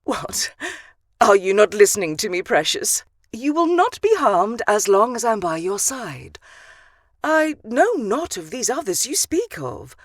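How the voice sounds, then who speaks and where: A woman's voice: mid-range voice, sing-song, a little slow, dry recording, clean studio sound. A woman playing a seductive dusk elf vampire in an audio drama, doting and protective, with a sultry, cooing manner. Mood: protective, curious. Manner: cooing, sultry, purring endearments. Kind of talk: audio drama character acting.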